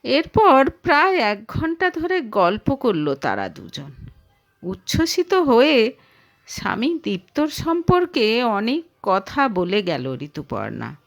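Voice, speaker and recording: A woman narrating a scripted romantic audio story in an expressive, emotive way. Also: fairly high pitch, takes it slowly, vocal fry.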